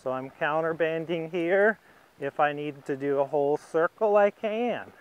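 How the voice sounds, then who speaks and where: A man giving a calm, patient, step-by-step riding lesson, narrating as he rides. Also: on the high side, measured pace, clear, resonant tone, poor audio. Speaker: riding instructor. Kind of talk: instructional demonstration narrated while riding.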